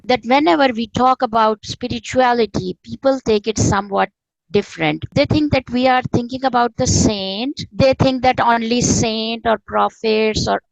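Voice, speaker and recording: A woman's voice: fairly high pitch, creaky, no echo, low-quality recording. A woman, an Urdu speaker, explaining things earnestly and repeating phrases for emphasis as an interview guest. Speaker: interview guest, author and spiritual teacher. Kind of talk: conversational explanation of spirituality.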